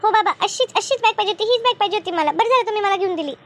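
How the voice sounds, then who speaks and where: A woman's voice: very high voice, racing, a bit bright. A woman voicing an eager, stubborn little schoolgirl in a comedy cartoon, pleading and repeating herself. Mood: happy. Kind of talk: Marathi comedy cartoon dub.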